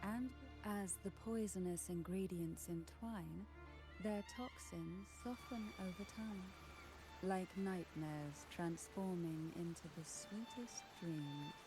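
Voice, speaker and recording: Castle potion maker for a video game: serene, poised, subtly ominous, unhurried, music behind. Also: female voice, lowish voice. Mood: serene, alluring. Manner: gentle, poised, faintly ominous soothing delivery. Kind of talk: scripted video game voice acting.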